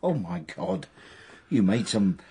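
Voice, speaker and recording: Dry, blunt male companion, heavily husky voice with lively intonation, for a radio play. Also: on the low side, slow pace, exaggerated voice. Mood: mocking. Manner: sardonic, blunt. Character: exasperated, dryly sarcastic male companion. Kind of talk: radio drama dialogue.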